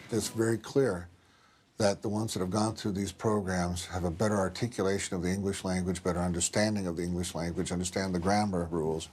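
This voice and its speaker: A man's voice: sits low, darkish tone, slight background noise. A man answering interview questions as a school superintendent, with blunt, folksy phrasing.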